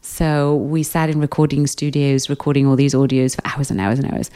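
A British woman with a low-pitched voice speaks as a guest in an interview. She is a hypnotherapist and talks in a calm, soothing, measured way. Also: clear, resonant tone. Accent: British English. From Britain. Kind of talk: interview conversation.

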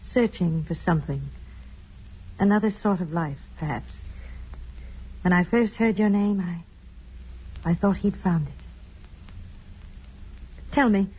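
A woman's voice: medium pitch, slightly rough. An actress playing an earnest, troubled woman with a hesitant, pleading delivery in a 1950s radio melodrama. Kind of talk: 1950s radio drama acting. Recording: muffled old radio broadcast.

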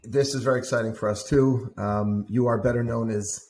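A man's voice: mid-range voice, fairly even intonation, a little fast, darkish tone, reverberant. A man hosting a podcast interview, welcoming his guest with enthusiasm and restarting his sentences now and then. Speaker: podcast host, rabbi.

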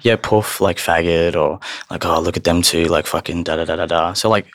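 A man's voice: deep voice, fairly even intonation, a little fast, dry recording, clean studio sound. A man chatting candidly and informally as an interview guest, in blunt casual language.

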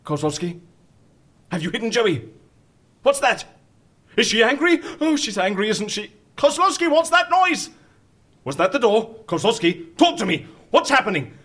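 An actor playing a flustered, evasive and mischievous boss in a comedy audio drama, stammering and wheedling excitably. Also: male voice, high-pitched, moderate pace, long pauses, slightly rough, heavily acted. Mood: panicked, frantic. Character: flustered, evasive, mischievous male boss hiding his blunders.

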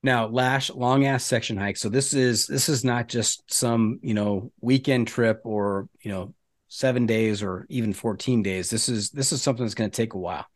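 A man's voice: mid-range voice, fairly even intonation, normal speed, no room sound. American male podcast host, dry studio sound. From United States. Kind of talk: casual interview chat.

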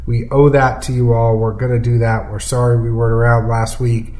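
A man's voice: mid-range voice, little pitch movement, brisk, a little mellow. Nasal, congested man co-hosting. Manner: easygoing, laughs while talking. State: congested, under the weather. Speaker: podcast co-host. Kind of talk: casual chat.

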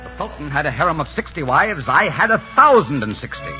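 An actor playing a dashing, boastful pirate captain in a 1940s radio drama, spinning tall tales and turning tender and dreamy. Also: male voice, fairly high pitch, very animated, fairly quick. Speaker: pirate leader. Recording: muffled old radio broadcast with music.

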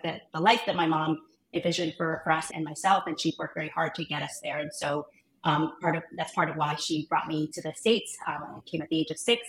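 A woman's voice: low voice, slight background noise. A woman from Ghana in her thirties, a physician turned founder, chatting as a guest with many ums and restarts. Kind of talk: casual interview conversation. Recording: roomy echo.

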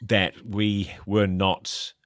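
A man in his thirties with a New Zealand accent, chatting as a comedian guest, animated and self-mocking. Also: lowish voice, extremely slow, no echo, clean studio sound. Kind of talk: casual chat.

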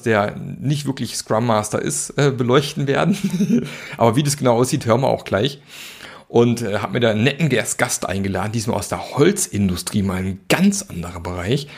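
A man's voice: medium pitch, creaky. A male podcast host chatting casually with his guest, with lots of restarts and fillers. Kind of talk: casual interview.

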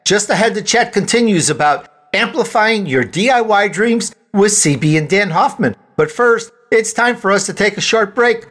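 A man's voice: high voice, animated, normal speed, hardly any pauses, fairly bright tone. A man hosting a show, reading scripted announcements and sponsor copy addressed directly to listeners. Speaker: show host. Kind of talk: scripted host announcement and ad read.

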